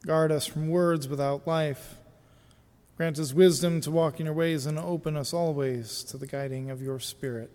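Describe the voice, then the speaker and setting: An American pastor with a notably high voice tells a story from the pulpit in a relaxed, conversational way, often pausing on small fillers. His words ring out with a long reverb in a church sanctuary. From United States. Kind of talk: sermon with personal story.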